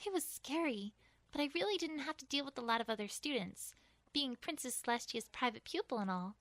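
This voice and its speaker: A woman acting studious young mare, friendly, high lively voice, earnest, articulate, storybook dialogue. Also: fairly quick, no echo. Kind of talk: acted audio story dialogue.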